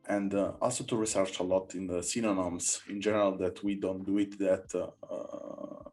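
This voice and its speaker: This is a man with a fairly low voice and an Italian accent, hosting a relaxed podcast conversation. English is not his native language, and he speaks it haltingly, feeling his way toward each word. There is faint music in the recording. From Italy. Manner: halting, searching for words in non-native English. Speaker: podcast host. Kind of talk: casual interview conversation.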